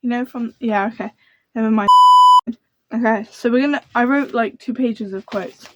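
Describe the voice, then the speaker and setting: A young woman who speaks in a soft, quiet voice, chatting to camera about books in a rambling, gushing way. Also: a little high, normal speed, lo-fi sound, slight background noise. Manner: rambling and gushing, full of fillers. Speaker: book vlogger. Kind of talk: casual book chat to camera.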